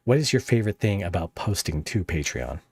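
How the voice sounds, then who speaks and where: A man hosting a casual podcast interview, easygoing and quick to affirm his guest. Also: low voice, sing-song, darkish tone, husky, no echo. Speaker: podcast host.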